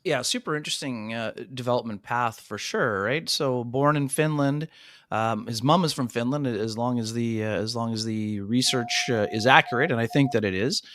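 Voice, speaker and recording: A man's voice: medium pitch, moderate pace, fairly bright tone, no room sound. A man co-hosting a hockey radio show, talking casually with many "you know" fillers and calling his co-hosts "fellas". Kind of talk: casual sports talk radio chat. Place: radio studio.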